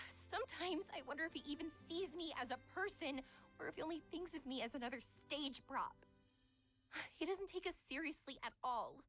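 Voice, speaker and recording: A woman's voice: high-pitched, lively intonation, moderate pace, fairly bright tone, faint music behind. A woman voicing a refined, affectionate young psychologist in a visual novel game, with genteel, careful phrasing. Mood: troubled, earnest.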